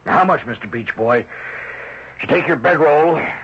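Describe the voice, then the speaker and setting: Husky, controlling rich patriarch. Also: male voice, on the high side, lively intonation. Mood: smooth, pressuring. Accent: American. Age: middle-aged. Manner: patronizing, chuckling, deliberate. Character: rich, controlling middle-aged father and businessman, coolly menacing. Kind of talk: 1970s radio drama acting. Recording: dull old radio broadcast.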